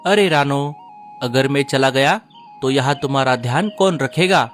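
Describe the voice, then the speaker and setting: A man voicing a caring male sparrow husband who speaks gently and reassuringly in a cartoon. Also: mid-range voice, moderate pace, faint music behind, lightly acted.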